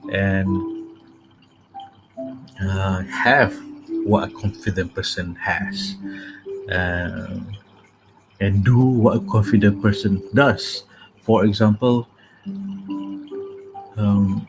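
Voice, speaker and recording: Slow, soft-spoken man over music. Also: fairly low pitch, rough recording. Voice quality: calming, soothing. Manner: soft-spoken, hesitant with many fillers. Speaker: host. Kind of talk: casual spoken sleep meditation talk. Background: calming music.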